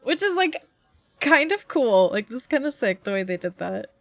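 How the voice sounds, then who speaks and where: A woman live streamer with a fairly high voice that moves up and down a lot. She talks slowly and informally, giggly and casual, close on the mic over faint game music. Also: very animated, creaky, fairly bright tone, no echo. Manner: giggly, informal, loosely paced.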